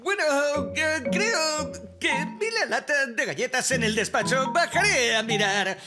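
A bumbling young cartoon fireman with a European Spanish accent. His voice is very high for a man and swings widely in pitch. He is eager, flustered and deferential, and loud music plays behind him. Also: lively intonation, unhurried. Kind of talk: children's cartoon dub. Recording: studio voice over loud music.

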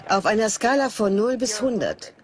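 A woman's voice: mid-range voice, animated, normal speed, crowd noise, close to an everyday voice. A woman in a dubbed documentary, explaining facts as an expert in a matter-of-fact way. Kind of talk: German documentary voice-over dub.

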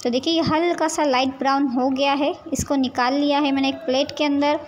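A woman hosting a home cooking channel, calmly explaining each step of a recipe to viewers as she cooks in her kitchen. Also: high-pitched, fairly quick, slight background noise. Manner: calm, explanatory, addresses viewers directly with frequent "see this". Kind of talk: step-by-step cooking demonstration voice-over.

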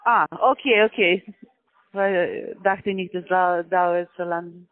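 A man as a phone scammer, speaking halting, broken German patiently and repetitively on a phone call. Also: high voice, steady tone, dry recording. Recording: tinny phone line.

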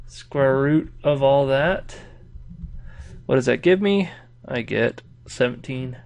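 A man's voice: mid-range voice, vocal fry. A man with a nasal, stuffed-up voice from a cold, walking through an engineering problem in a casual tutoring style. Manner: casual, thinking aloud while working problems. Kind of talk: whiteboard lesson walkthrough.

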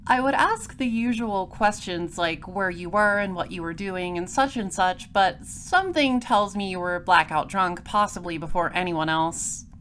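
A woman playing a dry, inquisitive space detective in an audio drama, speaking formally and deliberately. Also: fairly low pitch, a bit bright, a little hiss or hum, close to an everyday voice. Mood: dry, probing. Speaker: voice actor. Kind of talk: audio drama dialogue and first-person noir narration.

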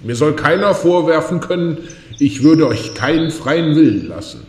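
A man's voice: on the high side, lively intonation, normal speed, natural voice. A man playing a detached, wry God in an audio drama. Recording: echoing, roomy sound.

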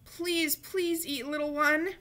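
A woman's voice: sits high, steady tone, takes it slowly, pushed and bright, voice pushed up, heavily acted. A woman voicing an eager, earnest young boy in a children's storybook, coaxing and emphatic. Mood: pleading.

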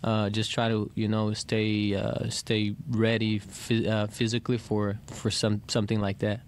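Man, low flat voice, post-game presser, desk mic, lots of uh and you know. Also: a little hiss or hum. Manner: halting, many fillers and restarts. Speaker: basketball player at press conference. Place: arena press room.

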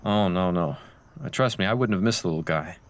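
A man playing an overly polite, creepy neighbor who speaks with halting hesitation in an audio drama. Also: low-pitched, natural voice.

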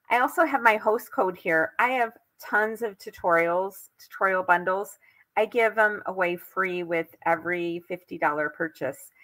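A woman's voice: mid-range voice, pushed and bright, poor audio. An older woman with an American accent hosting a craft tutorial live from home, chatting casually as she demonstrates. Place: indoors at home.

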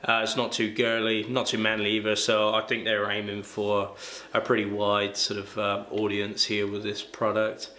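A man's voice: on the low side, completely flat delivery, moderate pace, bright, forceful tone. A young man, reviewing a hair product in a casual, chatty vlog with plenty of ums and uhs. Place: small room indoors. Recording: echoey room with faint ambience.

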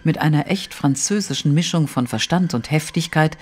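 A man narrating a detective audio play in a measured, literary, suspenseful way. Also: fairly high pitch, faint music behind, natural voice. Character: storytelling narrator of a mystery.

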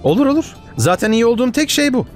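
An actor dubbing a good-hearted, put-upon young husband in a TV drama, with an expressive delivery. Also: male voice, extremely high pitch, fast, soft background music. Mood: sulky, hurt.

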